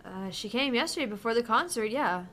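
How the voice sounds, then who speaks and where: A woman's voice: on the high side, lively intonation, a little fast, fairly bright tone. A woman co-hosting a gaming show, reading a visual novel aloud and commenting casually.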